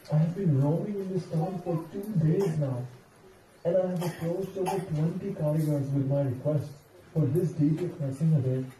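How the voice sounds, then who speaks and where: A man's voice: a little high, mellow, slight background noise, natural voice. A man playing an earnest, devout temple devotee on a quest in an amateur stage drama, declaiming and pleading. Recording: echoey hall, distant mic, music behind.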